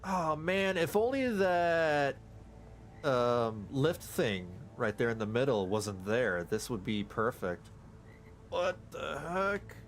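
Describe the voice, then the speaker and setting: A man streaming a game, rambling casually with excited outbursts and stammering restarts. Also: medium pitch, slow pace, slight background noise. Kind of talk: casual live gameplay commentary.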